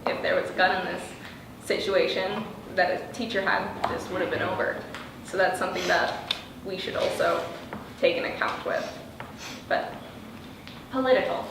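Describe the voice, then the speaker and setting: A woman's voice: fairly low pitch, steady tone, moderate pace. A woman speaking casually in a group discussion. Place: indoor room with a group. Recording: very echoey room, distant, noisy.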